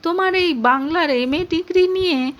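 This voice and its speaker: Haughty, high-pitched scornful mother acted by a woman. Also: normal speed, fairly bright tone. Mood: condescending. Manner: interrogating, snapping, contemptuous. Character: haughty, scornful middle-aged mother, snobbish prospective mother-in-law.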